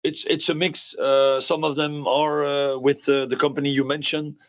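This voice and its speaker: A male company executive answering questions on an earnings call in a measured, explanatory, businesslike way. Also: on the high side, level tone.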